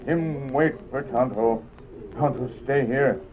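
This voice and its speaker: Actor playing slow, halting wounded loyal companion. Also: male voice, mid-range voice, a little hiss or hum, lightly acted. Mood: pained, resolute. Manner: slow, halting broken English. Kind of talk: old-time radio western acting. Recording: tinny narrow-band old radio recording.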